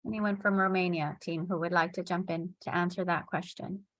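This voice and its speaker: A woman's voice: fairly low pitch, steady tone, brisk, no room sound. A woman moderating a seminar question round on a video call, inviting and encouraging participants to speak.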